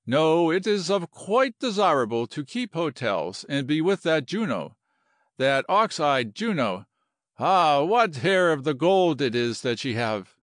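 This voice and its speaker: A man voicing an excitable, romantic Colombian general with a Spanish accent and flowery broken English. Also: on the high side, unhurried, no room sound, clean studio sound, voice pushed up. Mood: earnest, lovestruck.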